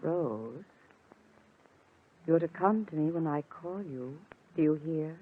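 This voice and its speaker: A woman's voice: mid-range voice, big pitch swings, normal speed, breathy edge. An actress playing a jealous, coldly commanding young woman with a biting manner in old-time radio melodrama. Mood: coaxing, controlling. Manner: sharp, biting. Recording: muffled, noisy old radio recording.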